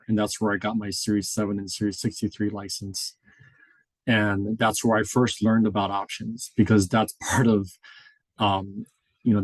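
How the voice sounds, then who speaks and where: The middle-aged American man you would hear leading an informal introductory class from slides, his lowish voice captured close and dry as he explains and checks that everyone follows. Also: no room sound, poor audio. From Hawaii, United States. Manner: explanatory, checks in with tag questions like "right?". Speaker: instructor, experienced options trader.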